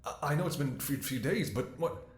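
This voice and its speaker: A man's voice: medium pitch, lively intonation, slight background noise, natural voice. Man acting as tender, anxious doctor in echoey room. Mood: panicked. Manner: tender, emotional, halting, sighing. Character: devoted, anxious male doctor tending infected lover. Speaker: audio roleplay performer. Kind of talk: intimate scripted ASMR roleplay acting.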